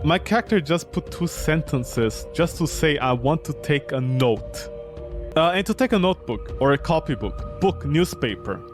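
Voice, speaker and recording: An exasperated game streamer reads story text aloud at an unhurried pace, then reacts with theatrical disbelief. The pitch swings widely. Music plays under the voice. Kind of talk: gaming commentary, reading visual novel text aloud and reacting.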